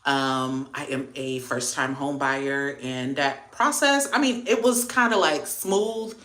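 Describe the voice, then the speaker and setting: Woman, Haitian American accent, Miami, low pitch, chatty livestream host. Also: slow pace, reverberant. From Miami, Florida. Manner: chatty, lively, slangy. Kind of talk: casual livestream chat reading viewer comments.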